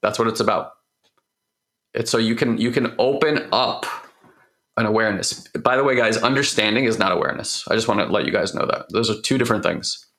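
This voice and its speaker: A man with a hoarse voice, recovering from losing it, hosting a personal growth talk and speaking openly and emotionally, rambling with restarts. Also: fairly low pitch, brisk, fairly bright tone. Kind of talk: candid heartfelt monologue.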